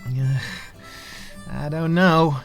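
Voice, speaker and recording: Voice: medium pitch, fairly even intonation, drawn-out words, vocal fry, soft background music. A performer playing a cautious, earnest young male runaway in an audio drama, slangy and hesitant. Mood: wary.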